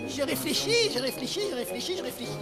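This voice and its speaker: High-voiced cheeky little adventurer. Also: female voice, animated, fast talker. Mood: suspicious, reluctant. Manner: cheeky, boastful, blunt. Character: cheeky, boastful young male cartoon adventurer creature. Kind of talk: French-dubbed children's cartoon acting. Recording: music behind the voice.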